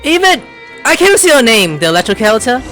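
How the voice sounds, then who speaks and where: A woman comments casually as she plays a video game. Her voice is on the high side and very animated, full of gushing exclamations. Faint music plays behind her. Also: quick pace. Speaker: gamer reacting to gameplay. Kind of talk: casual let's play commentary.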